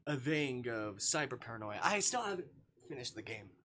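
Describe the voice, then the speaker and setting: Bright-voiced man reading on a livestream. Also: medium pitch, very animated, normal speed. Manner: casual, swearing in asides. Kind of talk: reading a visual novel aloud on a livestream, with casual asides.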